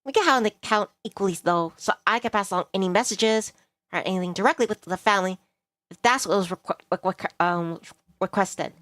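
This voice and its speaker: A woman's voice: mid-range voice, quick pace, a bit bright, no room sound, lightly acted. A woman voicing a polite, eager young public relations worker, earnest and a little hesitant, in a visual novel. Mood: helpful, professional.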